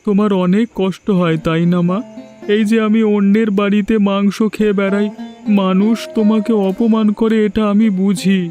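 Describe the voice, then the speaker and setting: A man's voice: sits high, fairly even intonation, moderate pace, warm tone, faint music behind, close to an everyday voice. A man voicing a simple, childlike village boy in a cartoon, naive, earnest and devoted to his mother. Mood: tender, consoling. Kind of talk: Bengali fairy tale cartoon dubbing.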